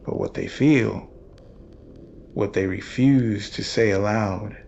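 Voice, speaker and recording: A man playing a brooding, world-weary paranormal investigator in somber, measured first-person horror narration. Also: on the low side, darkish tone. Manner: somber, measured, literary storytelling. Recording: dull audio, faint noise.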